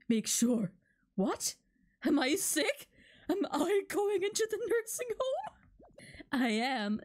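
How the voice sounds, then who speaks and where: Giggly high-pitched woman streaming a game. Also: a little mellow. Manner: giggly, laughs through her words. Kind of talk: reading game dialogue aloud with casual commentary. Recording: music behind the voice. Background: video game music.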